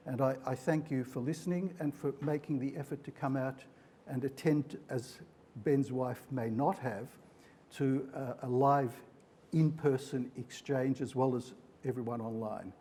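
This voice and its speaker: A man's voice: mid-range voice, steady tone, a little slow, warm tone, breathy edge. A man whose mother tongue is Hungarian, a clinician giving a live presentation in an explanatory, didactic manner. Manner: explanatory, didactic, speaks in metaphors. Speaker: clinician presenting at a mental health forum.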